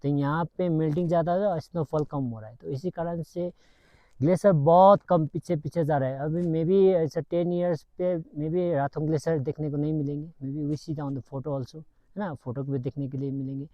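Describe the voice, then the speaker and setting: A man's voice: fairly high pitch, steady tone, racing, dry recording, muffled recording. A male mountaineering instructor explaining to camera, adding tag questions as he goes.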